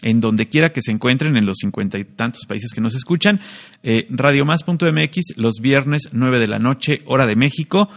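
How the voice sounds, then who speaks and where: Man co-hosting, relaxed and playful. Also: mid-range voice, normal speed. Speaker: podcast co-host. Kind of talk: casual chat with announcements.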